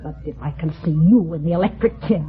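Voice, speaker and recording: A woman's voice: deep voice, fast, natural voice. An actress playing a vengeful, imperious female swindler in old-time radio melodrama, theatrical and cutting. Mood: bitter, contemptuous. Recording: thin, narrow-band old radio recording.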